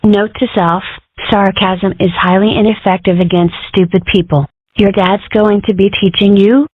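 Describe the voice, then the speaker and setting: A woman's voice: medium pitch, steady tone, normal speed, no echo, natural voice. Woman doing a sarcastic little fox girl, cartoon dub, dry delivery, sounds thin like a phone, no room sound. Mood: teasing. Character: sardonic, brash young girl fox cartoon character. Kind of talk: comic strip dub acting. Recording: tinny phone-like band-limited audio.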